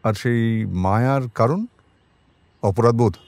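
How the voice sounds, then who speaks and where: A man playing a composed police detective in an audio drama, questioning politely but pointedly in a measured manner. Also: mid-range voice, darkish tone, no room sound. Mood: probing.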